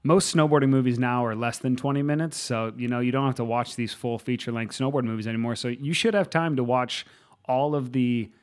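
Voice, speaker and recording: A man's voice: medium pitch, steady tone, a little fast, darkish tone, clear, resonant tone. A young man co-hosting a casual podcast over a video call on a large mic, with wry, dry jokes. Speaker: podcast co-host. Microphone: large studio microphone, video call.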